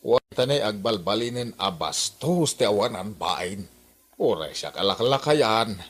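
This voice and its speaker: Cocky son, Ilocano accent, husky, highish swooping pitch, defiant, radio comedy drama. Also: male voice, expressive, measured pace. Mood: indignant, boastful. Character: rude, boastful, hot-headed son who practises taekwondo.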